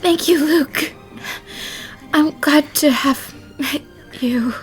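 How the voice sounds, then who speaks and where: Woman acting high-voiced, weakened young mother, pleading. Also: soft background music. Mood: tender. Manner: gentle, halting, pleading. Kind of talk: dramatic fan dub acting.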